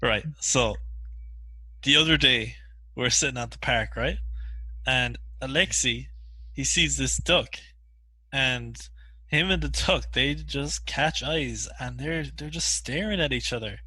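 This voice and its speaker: Eager young male pal, acted by a man, unhurried, husky but bright, close dry sound, rambling comedy delivery. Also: mid-range voice, dry recording, heavily acted, low-quality recording. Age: young adult. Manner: excitable, matey, hesitant rambling. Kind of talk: naturalistic comedy sketch acting.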